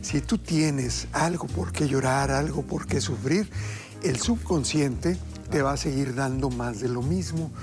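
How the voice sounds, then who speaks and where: A middle-aged man with a Mexican accent hosting a TV cooking show, upbeat and talking warmly to viewers as family. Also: medium pitch, unhurried, over music. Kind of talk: lively TV hosting and motivational talk. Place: TV kitchen studio set.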